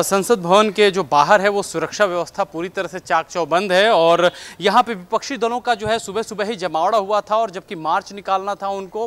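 A male field reporter in his thirties speaks into a handheld mic outdoors. His voice sits high, and he talks in long, filler-laden run-on sentences. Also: fairly bright tone, clear, resonant tone. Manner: fast, filler-heavy reporting with repeated "jo hai". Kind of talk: live news report from outside. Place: outdoors outside a parliament building.

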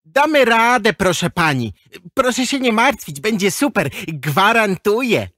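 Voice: mid-range voice, sing-song, a little slow, a bit bright, dry recording, clean studio sound. A performer playing a cheeky, optimistic schoolboy in a children's audio drama. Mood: upbeat.

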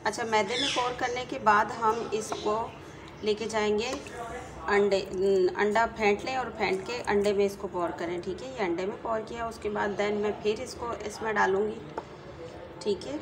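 A woman with a Pakistani Urdu accent hosting a casual home cooking tutorial, talking chattily and tagging each step with a quick check-in. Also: medium pitch, steady tone, crowd noise. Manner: chatty, repeats "theek hai" after each step. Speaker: home cooking channel host.

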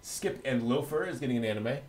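A man's voice: medium pitch, expressive, fairly quick, slight background noise, low-quality recording. A man co-hosting a casual news chat, talking in hesitant, stop-and-start phrases. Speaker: co-host of an anime news show. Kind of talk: casual chatty news rundown.

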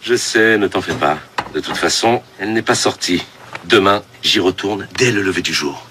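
A man playing a good-natured, slightly vain middle-aged father in a children's cartoon, affable and expressive. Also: low voice, normal speed, noisy background, lightly acted. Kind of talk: French animated children's series dubbing.

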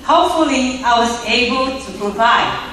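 A middle-aged woman, mother of the bride, slowly reading a welcome speech at a wedding reception, with small restarts. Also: fairly high pitch, animated, fairly bright tone, heavy reverb, recorded from a distance, slight background noise, poor audio. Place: large reception hall. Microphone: filmed from across the room on a phone.

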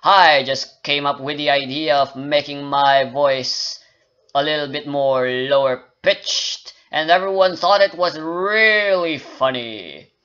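A comically pompous he-man drawls each word slowly, as a man puts him on. The tone is smooth and clear. It is captured on a dull, lo-fi home mic. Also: fairly high pitch, pushed and bright. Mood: mock pompous. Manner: slow deliberate drawl, self-mocking pomp. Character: mock macho man, slow, overly deep.

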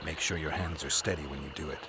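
An actor playing a stoic, gruff monster hunter in a video game, terse and deadpan. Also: male voice, extremely low pitch, fast talker, a crowd in the background. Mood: stern.

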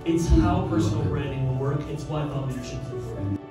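A man's voice: mid-range voice, fast, far from the mic. A man in his thirties speaking on a panel into a handheld mic, fired up and emphatic, in a large indoor office event space. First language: English. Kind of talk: energetic panel talk. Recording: roomy, from afar, with music.

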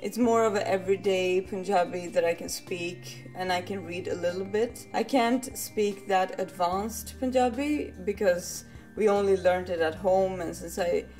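A young woman talks to a webcam at her desk, soft-spoken and a little hesitant. Her voice sits at an ordinary middle pitch. Faint music plays quietly behind her. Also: a little slow. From Sweden. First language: Swedish. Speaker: vlogger. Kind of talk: casual talk to camera. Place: living room indoors.

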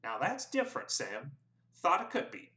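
Laconic bony clerk, voiced by a male reader; offhand drawl, a little high. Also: huge pitch swings, moderate pace, sharp tone, heavily acted. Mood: pleased. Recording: roomy echo.

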